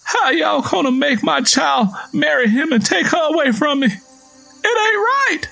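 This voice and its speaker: A shrill, wounded Southern father. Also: expressive, a little slow, vocal fry, bright, forceful tone, faint music behind, full character voice. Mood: anguished pleading. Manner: snarling, pleading.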